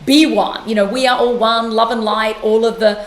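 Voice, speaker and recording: A middle-aged woman from London, speaking as an interview guest in a passionate, emphatic way with quick restarts. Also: on the high side, steady tone, echoey room. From London, England. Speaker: guest author and yoga teacher. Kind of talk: conversational interview.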